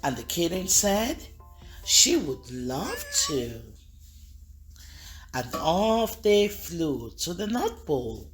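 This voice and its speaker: A woman reading a picture book aloud to children, expressive and talking to the kids directly. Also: lowish voice, takes it slowly, soft background music. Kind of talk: read-aloud storytelling for kids.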